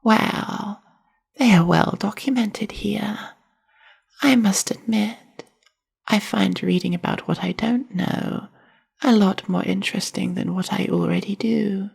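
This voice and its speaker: A very breathy, husky young monster librarian, half spider and bookish, played by a woman, speaks softly in an ASMR roleplay. Her voice is heavy with creak and moves slowly, with formal, reserved and carefully measured wording. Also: medium pitch, heavily acted. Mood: curious, measured. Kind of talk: ASMR roleplay, soft-spoken monologue to the listener.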